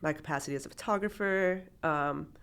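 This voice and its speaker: Photographer guest, woman, 30s, from Peru via Miami; casual, rambling, slight vocal fry. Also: low voice, a little fast, a bit bright. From born in Peru, raised in Miami. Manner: chatty, with many fillers and restarts. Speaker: documentary photographer, interview guest. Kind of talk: casual interview conversation.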